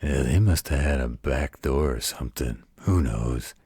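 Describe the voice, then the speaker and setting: Man voicing a very deep, husky, gentle werewolf. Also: no room sound, clean studio sound, full character voice. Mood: patient, amused. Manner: folksy, slow, patient drawl with colloquial turns. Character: gruff, gentle protective werewolf telling a bedtime story. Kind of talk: bedtime story roleplay audio.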